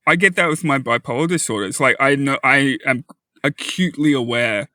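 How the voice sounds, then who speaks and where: A man's voice: mid-range voice, fairly quick, sharp tone, dry recording, studio quality. A man co-hosting a podcast, talking candidly and swearing casually. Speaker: podcast co-host.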